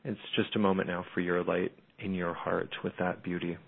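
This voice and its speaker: A man leading a slow, soothing guided meditation as a spiritual guide on a call-in show. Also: low voice, level tone, vocal fry, slightly rough. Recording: phone-line audio.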